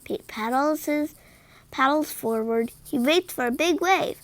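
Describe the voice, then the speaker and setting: A woman reading a children's picture book aloud in an expressive, deliberate manner. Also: sits high, no room sound. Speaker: read-aloud storyteller.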